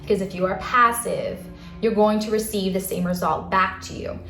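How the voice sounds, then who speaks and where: A soft-spoken woman giving a casual spiritual talk to camera in a calm, earnest way. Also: medium pitch, normal speed. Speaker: spiritual channel host. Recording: roomy echo, faint music.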